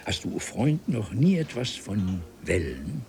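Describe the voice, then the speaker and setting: An actor playing an elderly, courteous scholar from an ancient civilization in a German radio play, speaking in formal, archaic, ornate phrases. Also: male voice, fairly low pitch, big pitch swings, measured pace, dark tone. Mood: superior, instructive. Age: older. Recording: 1970s radio play with audible noise.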